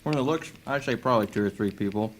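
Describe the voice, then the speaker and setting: A man's voice: on the low side, fast, natural voice, poor audio. A man playing a sardonic, resourceful fugitive in a fantasy audio drama, teasing and sarcastic. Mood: sarcastic, annoyed.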